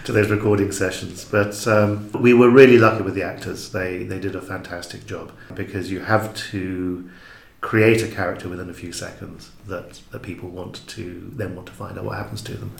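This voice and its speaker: Low-voiced man explaining in an echoey room. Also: steady tone, moderate pace, creaky. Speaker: audio drama creator, interview guest. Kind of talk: reflective interview chat.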